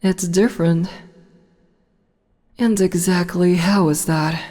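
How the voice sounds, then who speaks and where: A woman playing a haughty demon empress in an audio roleplay, unhurried and condescending. Her tone is low and mellow, and a long reverb trails after each line. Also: steady tone. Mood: teasing. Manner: condescending, slow and teasing. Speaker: voice actress.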